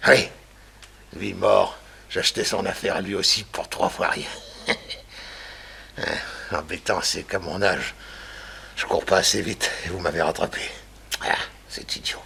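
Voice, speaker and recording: An older man playing a mad, vengeful murderer who gloats theatrically, with a gravelly, husky voice, in a 1960s French radio crime drama. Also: low voice, fairly even intonation, unhurried, long pauses, sharp tone, exaggerated voice. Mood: cynical satisfaction.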